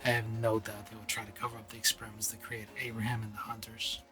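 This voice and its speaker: A man playing a world-weary investigator in a radio drama, speaking in a grave, deliberate, dramatic way. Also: on the low side, monotone, over music, close to an everyday voice.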